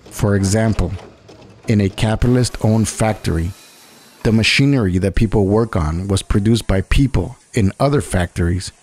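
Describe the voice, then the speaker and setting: A man reading scripted political narration in a didactic, measured way. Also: lowish voice, a little mellow, slightly rough.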